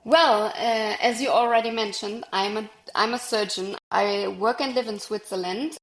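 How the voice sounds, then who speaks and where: A woman's voice: mid-range voice, moderate pace, a bit bright, roomy sound, a little hiss or hum, rough recording. A woman from Germany with a German accent, a native German speaker, answering conversationally as an interview guest and surgeon.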